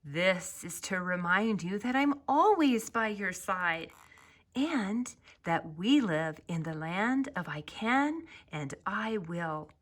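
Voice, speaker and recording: A woman voicing a kind, encouraging ostrich in a children's storybook, speaking in a gentle, earnest and reassuring way. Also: mid-range voice, lively intonation, takes it slowly.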